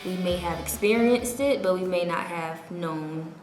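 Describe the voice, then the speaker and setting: A woman's voice: on the low side, measured pace, music in the background. Reflective young woman in echoey room. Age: young adult. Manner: reflective, hesitant. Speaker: college student interviewee. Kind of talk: reflective interview answers.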